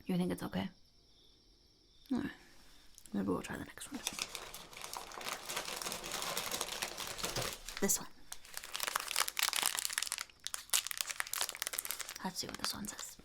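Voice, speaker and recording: A woman voicing a blunt, smug female goblin entrepreneur in an unhurried, breathy, gravelly half-whisper for an ASMR roleplay. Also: medium pitch, little pitch movement, heavy background noise. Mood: soft, inquisitive. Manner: speaks of herself in third person, unhurried, blunt. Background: crinkling wrappers and clicks.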